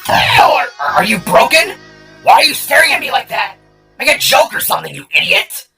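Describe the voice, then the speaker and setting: A man voicing a cocky, cowardly cyborg shark villain from an audio story, ranting in a strained, gravelly rasp. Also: very high-pitched, wildly swooping pitch, fairly quick, sharp tone, soft background music, pitched above the performer's own voice, full character voice. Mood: panicked, defiant. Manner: ranting, exclamatory, theatrical. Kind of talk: dramatic story character acting.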